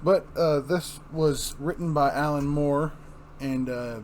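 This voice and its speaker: A man's voice: on the high side, slow, darkish tone, slight background noise. A man hosting a dramatic comic book reading, chatting casually and describing scenes informally. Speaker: host and reader.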